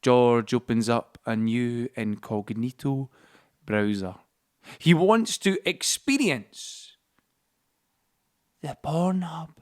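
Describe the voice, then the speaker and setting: A man's voice: medium pitch, lively intonation, slow, long pauses. An actor playing a young Scottish man who tells a story in a confiding, colloquial way, as a dramatic monologue in an audio play. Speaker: actor-narrator. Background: music score.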